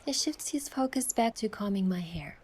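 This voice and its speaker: An actress playing a playful, affectionate young heroine who softly narrates her own love story in a romance game. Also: medium pitch, expressive, normal speed, slight background noise, close to an everyday voice. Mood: tender.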